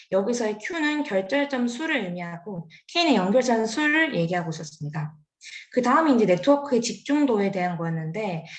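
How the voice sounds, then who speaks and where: Young woman, seminar presenter, hesitant careful delivery, roomy echo. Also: mid-range voice. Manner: careful, hesitant explaining with fillers and self-corrections. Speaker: graduate student presenter.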